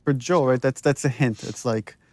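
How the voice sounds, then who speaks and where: A man's voice: mid-range voice, moderate pace, no room sound. A man giving director's commentary on a game, conversational and explanatory.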